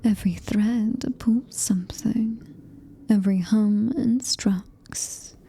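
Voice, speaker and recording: A woman performs a predatory alien-human hybrid female in a horror ASMR roleplay, speaking very slowly in a British accent. Her voice is dark and soft, with a creaky edge, sliding into breathy whispers. She is possessive, seductive and quietly commanding. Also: mid-range voice, fairly even intonation, heavily acted. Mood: predatory, gloating.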